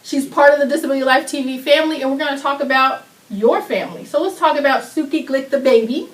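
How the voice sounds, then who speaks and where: Upbeat female host with a high voice, heard a little off mic in an echoey room. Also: fast talker, distant mic. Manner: upbeat, chatty, laughs with guest. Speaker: talk show host. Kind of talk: studio talk show interview. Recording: roomy echo, voice from off mic.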